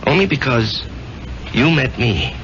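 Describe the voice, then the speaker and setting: A man's voice: medium pitch, expressive, unhurried, noisy background. A man playing a troubled, devoted man facing his fate, questioning urgently and hesitantly, in a 1970s radio drama. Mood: searching, troubled. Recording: dull vintage radio recording, loud music.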